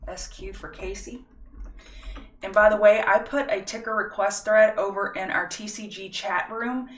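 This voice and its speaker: A woman's voice: medium pitch, fairly even intonation, moderate pace, distant mic. Folksy woman streaming market analysis. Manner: chatty, folksy, talks directly to viewers. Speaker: stock market livestream host. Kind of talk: casual market analysis livestream. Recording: roomy echo, set back from the mic.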